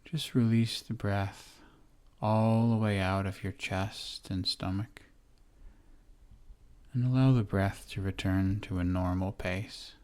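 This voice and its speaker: A man guides a relaxation exercise in a deep, soft-edged, mellow voice. He speaks very slowly and gently, in short phrases. Long, soothing pauses separate each instruction. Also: fairly even intonation, clean tone. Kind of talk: guided meditation, body scan.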